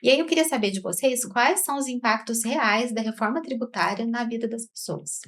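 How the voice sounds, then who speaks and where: A woman co-hosting a business podcast, speaking in an upbeat, lively way. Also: fairly high pitch, fairly quick. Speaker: co-host. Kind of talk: podcast interview.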